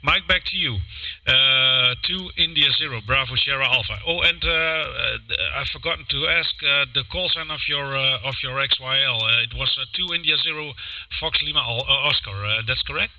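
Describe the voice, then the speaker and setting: Halting bright-voiced man on ham radio. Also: medium pitch, highs cut off. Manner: halting, many fillers and restarts. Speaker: amateur radio operator.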